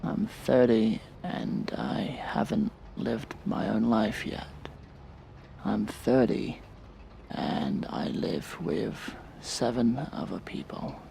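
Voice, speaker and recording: An actor playing a weary, resentful man of about thirty in an audio drama, speaking in a bitter, sardonic and deliberate way. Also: fairly low pitch, big pitch swings, creaky, dark tone, slight background noise, full character voice. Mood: frustrated. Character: weary, resentful thirty-year-old man confiding in a therapist. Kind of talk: audio drama acting, monologue.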